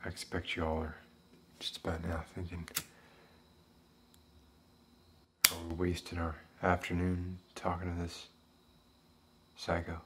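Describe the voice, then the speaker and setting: A man playing a world-weary, philosophical former detective with a Southern American accent, musing haltingly in an ASMR roleplay monologue. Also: deep voice, completely flat delivery, noisy background, lightly acted. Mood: wry. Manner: deliberate, halting, musing, intellectual.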